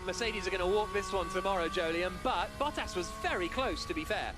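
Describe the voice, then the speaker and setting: A man giving brisk, excited live motor racing commentary on radio. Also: high voice, music in the background.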